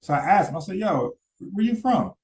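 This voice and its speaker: A man's voice: high voice, big pitch swings, fairly quick, darkish tone. A man giving casual live-stream commentary, rambling, repeating words and asking his chat questions. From United States.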